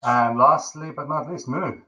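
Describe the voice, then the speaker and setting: A man's voice: medium pitch, a little slow, pushed and bright, dull audio. A man with a South African accent hosting a webinar, chatty and jokey with lots of fillers. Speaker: webinar host.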